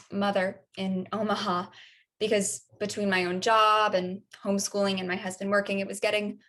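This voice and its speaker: Woman as a composed mother with even delivery. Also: mid-range voice, lightly acted. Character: composed working mother of young children. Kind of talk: documentary theater monologue.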